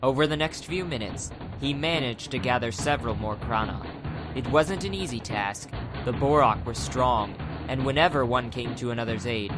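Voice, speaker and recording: Male narrator for a dramatized adventure audiobook, mid-pitched, with music clearly underneath.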